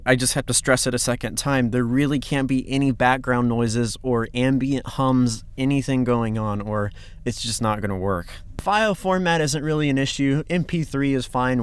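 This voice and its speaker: A man's voice: medium pitch, clear, resonant tone. A man with an informal, chatty manner presenting a casting call announcement as the project's director, close on the microphone. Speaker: fan dub director, casting host. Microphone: close XLR microphone. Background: background music.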